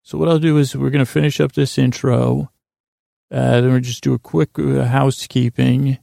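Male sleep podcaster, thick vocal fry, even low-key intonation, halting rambling delivery, self-deprecating, whimsical bedtime monologue. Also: no room sound, studio quality. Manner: meandering, halting, self-interrupting.

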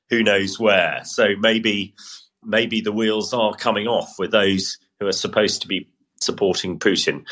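A man's voice: sits low, pushed and bright. A man giving plain-spoken, dismissive news commentary as a military analyst.

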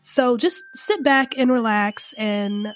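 A woman's voice: fairly high pitch, takes it slowly, faint music behind. A woman hosting a film podcast, stuffed up from a cold, talking casually and rambling with lots of fillers. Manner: chatty and rambling, many fillers. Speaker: podcast host, film reviewer.

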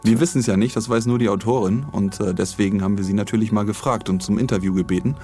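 A man hosting a podcast in casual, informal chat with a halting delivery. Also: fairly low pitch, steady tone, warm tone, soft background music. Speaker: podcast host.

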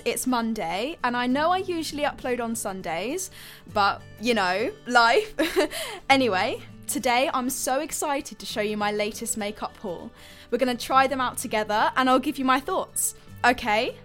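High-voiced bubbly teenage girl vlogger. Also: lively intonation, unhurried, a bit bright, faint music behind. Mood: bubbly, excited. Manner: gushing, casual. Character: bubbly fifteen-year-old girl online beauty vlogger. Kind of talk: chirpy video blog greeting.

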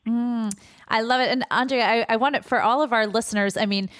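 A woman's voice: a little high, fairly even intonation, fairly quick, fairly bright tone. A woman hosting a radio interview show from her home, enthusiastic and gushing, with frequent "uh"s.

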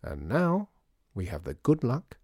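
A man voicing a gallant, smooth-talking shopkeeper in a storybook, courteous and coaxing. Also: mid-range voice, wildly swooping pitch, unhurried, dark tone, dry recording, studio quality, exaggerated voice. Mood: confiding. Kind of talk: storybook character voice.

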